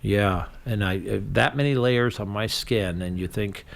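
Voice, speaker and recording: A man's voice: lowish voice, a little slow, gravelly. A middle-aged man hosting a community radio talk show, chatting casually and joking around. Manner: jokey, breaks into loud silly voices.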